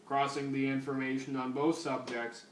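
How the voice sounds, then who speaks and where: A man voicing a stilted, analytical cyborg policeman with a monotone, mechanical delivery in a fan fiction reading. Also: medium pitch, normal speed, lightly acted. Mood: flat, analytical. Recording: echoey room, distant noisy mic.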